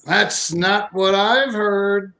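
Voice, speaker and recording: An actor playing a mean, scheming old rich man in a radio play, sharp and menacing. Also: sits high, full character voice. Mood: smug, insinuating. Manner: smug, menacing, deliberate.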